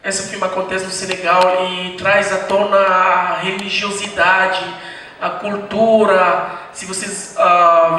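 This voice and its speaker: Man, high bright voice, panel host, explanatory, distant echoey hall recording. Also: slight background noise. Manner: explanatory, pausing to gather thoughts. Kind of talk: post-screening discussion. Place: screening room, large hall.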